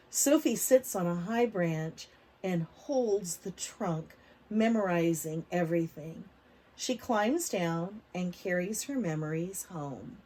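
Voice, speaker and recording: A woman reading a picture book aloud to preschoolers, expressive, stretching words for emphasis and putting questions to the children. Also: on the low side, breathy edge. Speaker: read-aloud storyteller for preschoolers.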